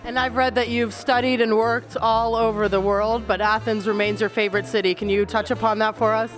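The woman reporter doing a local TV segment at an art fair, asking questions in a high voice over music. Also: level tone, a little fast. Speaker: TV reporter, interviewer. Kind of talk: interview questions. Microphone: handheld interview mic.

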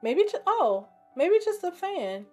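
A woman with a raspy voice and a sore, scratchy throat, chatting casually while narrating her gameplay and thinking aloud. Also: very high voice, very animated, slow pace, mellow, faint music behind. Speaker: game streamer.

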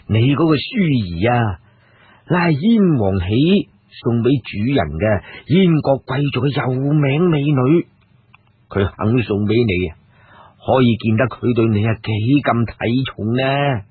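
Man acting a sly, husky steward. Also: mid-range voice, measured pace, dry recording, phone-quality sound. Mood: suggestive, teasing. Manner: suggestive, confiding, flattering. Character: sly, chummy male steward of a rich ancient Chinese clan.

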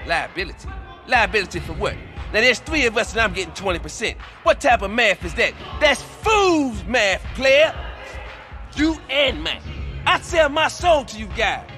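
An actor playing a boastful, fast-talking pimp in a video game, with a showy, slangy, melodramatic manner. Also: male voice, high voice, big pitch swings, pushed and bright, soft background music, full character voice. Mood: indignant. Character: flamboyant, boastful, fast-talking male pimp and club boss. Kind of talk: video game cutscene acting.

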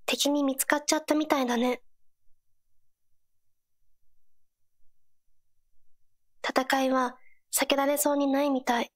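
Game companion, teenage girl, played by a woman: high voice, earnest, gentle, supportive, short encouraging lines. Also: natural voice. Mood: curious, earnest. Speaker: game character voice actor. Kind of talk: scripted game character voice lines.

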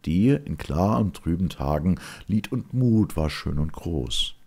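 A man as a solemn gravelly ancient chorus. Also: low voice, animated, a little mellow, heavily acted. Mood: mournful. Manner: solemn, measured declaiming. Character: solemn ancient Greek chorus, admiring and mourning.

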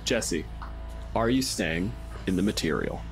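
A man with a fairly low voice acts as game master of a tabletop role-playing game. He narrates and gives rulings in a conversational way. Music plays underneath. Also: expressive, unhurried.

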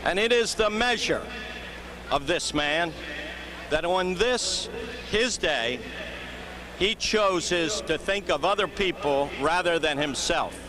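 A man's voice: high-pitched, expressive, slow pace, pauses often. Older man presenting formally outdoors. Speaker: team general manager presenting a plaque. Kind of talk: ceremonial presentation speech. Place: outdoor baseball stadium field. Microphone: mic on stand. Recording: outdoor ambience noise.

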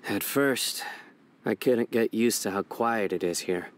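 Low-voiced, serious young warrior judge, acted by a man. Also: a little slow, slight background noise, close to an everyday voice. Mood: calm, reflective. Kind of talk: scripted video game character dialogue. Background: background game music.

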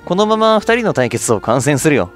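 An innocent little girl from a visual novel, plucky and cheerful, as a man puts her on. Her simple, eager words rise and fall with lively pitch. Soft music sits behind. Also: brisk. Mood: calm, willing.

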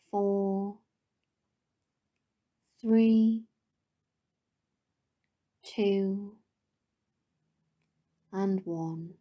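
Female meditation guide: mellow, gentle, breathy at phrase ends, level intonation, long unhurried pauses. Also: medium pitch.

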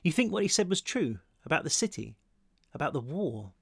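A man's voice: on the high side, very animated, brisk, gravelly, a bit bright, breathy edge, no room sound, studio quality, full character voice. A man voicing a wounded, weary teenage hero in an audiobook, with a drained, sardonic manner. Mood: anxious.

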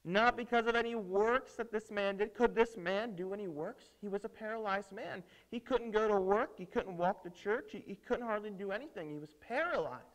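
Male children's preacher, high voice, theatrical storytelling, punchy emphasis and dramatic pauses. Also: rough recording. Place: indoors at a lectern on a stage set.

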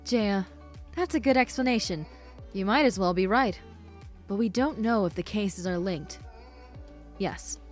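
A woman playing a keen, earnest young police detective in an amateur animated film. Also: mid-range voice, lightly acted. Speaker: voice actor in a fan animated film. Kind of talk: scripted amateur dramatic dialogue.

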